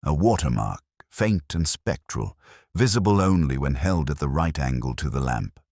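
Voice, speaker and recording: A man with an extremely low voice narrates a mystery tale in scripted storybook fashion. His delivery moves up and down in lively swings, and the recording is dry and close. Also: moderate pace, no echo. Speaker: audiobook narrator telling the tale as a detective's companion.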